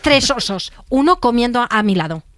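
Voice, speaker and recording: Playful, expressive female co-host. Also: a little high, fairly quick. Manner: expressive, laughs easily, playful. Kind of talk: casual radio chat.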